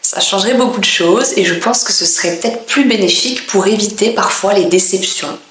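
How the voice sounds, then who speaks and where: A woman from France, a French teacher, speaking in a clear, deliberate way with pauses between phrases. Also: mid-range voice, fairly bright tone, roomy sound. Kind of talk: slow, careful teaching monologue.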